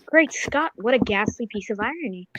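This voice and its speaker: Radio drama role: old-fashioned, exclamatory gentleman companion, voiced by a woman, high voice, slightly muffled roomy sound. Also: expressive, normal speed, slight background noise. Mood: surprised, sympathetic. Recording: dull, roomy recording.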